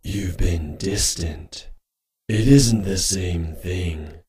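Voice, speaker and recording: A man voicing a gruff, wary wolf companion in an audio drama, speaking tersely and bluntly, as if cautioning. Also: sits low, very slow, dark tone. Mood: stern warning.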